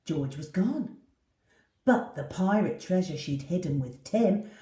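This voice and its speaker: A woman's voice: fairly low pitch, animated, slow, dark tone. A woman reading a children's story aloud with theatrical, dramatic delivery. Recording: echoey room, distant from the mic.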